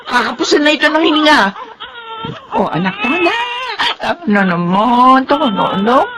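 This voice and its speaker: A woman's voice: sits high, expressive, moderate pace. An actress playing an anxious, inexperienced young Filipino mother in a radio drama, speaking in a plaintive, fretful way. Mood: worried, fretful.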